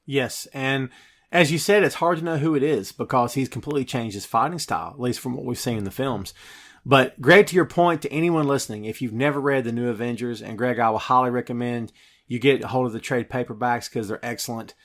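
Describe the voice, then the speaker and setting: A man's voice: mid-range voice, fairly quick, fairly bright tone. A man hosting a podcast, talking through a film scene by scene in an emphatic, dramatic storytelling way. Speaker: podcast host.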